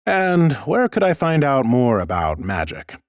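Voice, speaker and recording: A man's voice: medium pitch, huge pitch swings, a little slow, no room sound, clean studio sound, voice pushed up. A man voicing an ancient, mighty lich in a fantasy audiobook, bookish and courteous, with a formal, pompous manner. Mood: polite, curious. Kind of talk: audiobook character acting.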